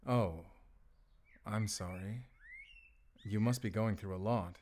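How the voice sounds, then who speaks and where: A man playing an earnest, gentle wolf hunter in an audio roleplay, speaking in a measured, sincere way. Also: lowish voice. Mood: gentle, reassuring. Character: grown male wolf hunter, earnest, gentle, protective, duty-bound. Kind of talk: cinematic audio roleplay acting.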